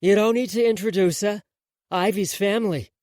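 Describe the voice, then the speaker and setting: A cocky young man with a short fuse, pitched up and loud, as a male reader puts him on. The sound is dry and close. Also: moderate pace, no echo, lightly acted. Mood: smooth. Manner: smooth then hollering. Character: cocky young man who loses his temper.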